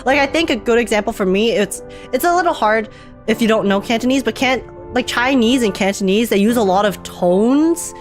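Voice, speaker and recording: A woman's voice: fairly high pitch, animated, a little fast, faint music behind. Chatty laughing woman streamer. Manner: rambling, laughing often, many fillers. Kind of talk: live game reaction chat.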